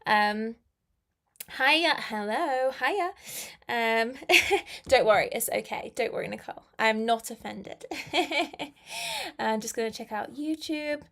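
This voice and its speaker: A young woman teaching on a live stream. Her voice sits a little high, and her talk is chatty and giggly. She answers viewers' comments one by one. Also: animated, slow pace, creaky, a bit bright. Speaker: English teacher.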